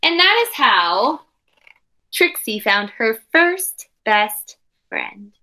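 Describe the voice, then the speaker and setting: A woman with a high, bright voice reads aloud for children at an unhurried pace. She is expressive and dramatic, and explains things simply, as a teacher does. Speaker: teacher reading a picture book to young pupils. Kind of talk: animated children's read-aloud with asides.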